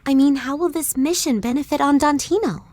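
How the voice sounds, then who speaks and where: A woman's voice: sits high, fairly quick, a little mellow, no echo, close to an everyday voice. A woman voicing a polite, anxious young female rebel in a video game, hesitant and questioning. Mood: earnest, uncertain.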